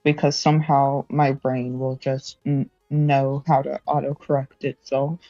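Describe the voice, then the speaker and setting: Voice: mid-range voice, little pitch movement, a little slow, warm tone, no echo, lo-fi sound, faint music behind. A young adult streamer chatting casually while studying, rambling, correcting themselves and laughing often.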